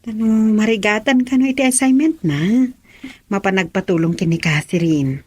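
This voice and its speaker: Actress as a fond mother, medium pitch. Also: normal speed, slightly rough, lightly acted. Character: fond, practical mother of a student. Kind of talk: Ilocano radio drama acting.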